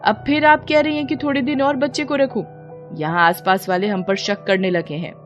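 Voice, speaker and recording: A woman voicing a crabby, mercenary child thief in an animated horror story, curt and scolding. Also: a little high, moderate pace, lo-fi sound, soft background music, close to an everyday voice. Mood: impatient, demanding.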